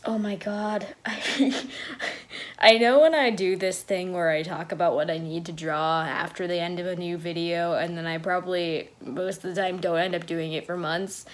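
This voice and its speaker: A very tired, drowsy woman talking casually and ramblingly as the host of a reaction video. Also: lowish voice.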